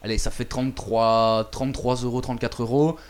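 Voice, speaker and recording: A man's voice: medium pitch, fairly even intonation, a little fast. A man chatting casually on a talk show, recounting a visit with many fillers and repeated words. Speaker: radio show contributor recounting a trip. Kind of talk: casual chat.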